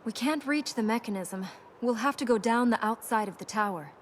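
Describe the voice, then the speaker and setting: An actress playing a serious, determined young princess in a video game, earnest and dryly sharp-tongued. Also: female voice, fairly high pitch, brisk, a little hiss or hum, natural voice. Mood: matter-of-fact. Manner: earnest, dry, blunt.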